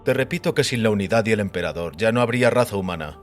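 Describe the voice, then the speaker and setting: An actor playing a calm, enigmatic male visitor who speaks with measured, solemn authority in an audio drama. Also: fairly low pitch, lightly acted. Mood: insistent, probing. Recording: music bed under the voice.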